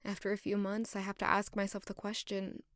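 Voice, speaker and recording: An actress playing a caring, sociable young maid in an audio drama, speaking softly and earnestly in dialogue and reflective narration. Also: female voice, medium pitch, completely flat delivery, brisk, dry recording, clean studio sound, close to an everyday voice. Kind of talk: audio drama acting and first-person narration.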